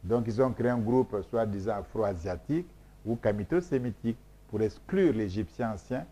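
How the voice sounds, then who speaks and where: A man's voice: lowish voice, expressive, normal speed, dark tone. A man whose first language is Mboshi, from Congo, explaining his research in an interview in an emphatic, repetitive, lecturing way with frequent hesitations. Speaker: scholar being interviewed.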